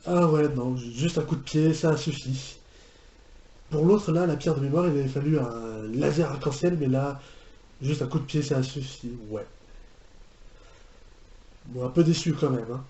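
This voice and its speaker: A man giving casual reaction commentary as a video host, halting and often restarting his phrases. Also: mid-range voice, mellow, lo-fi sound. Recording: roomy home recording with background noise.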